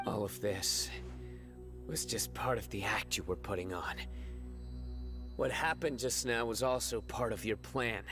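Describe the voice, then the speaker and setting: A man plays a stern, resolute young guardian with a low, steady voice. He speaks tersely and calmly, each line clipped and certain. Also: unhurried, pauses often, music in the background, lightly acted. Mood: accusing. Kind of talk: game cutscene voice acting.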